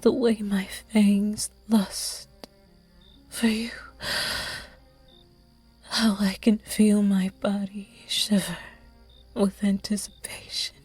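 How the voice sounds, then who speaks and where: A woman voicing a seductive, predatory vampire in a whispered audio roleplay, teasing and hushed. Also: mid-range voice, little pitch movement, soft background music. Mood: commanding. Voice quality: breathy whisper. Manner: slow, teasing, hushed and intimate. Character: seductive predatory vampire, flirty noble demon.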